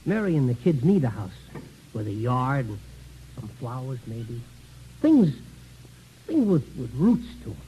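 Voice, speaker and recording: A man's voice: mid-range voice, very animated, unhurried, pauses often, warm tone, slight background noise, low-quality recording. An actor playing a talkative, down-on-his-luck American janitor and father in early 1950s radio comedy-drama, rambling, stammering and self-deprecating. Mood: earnest, hopeful.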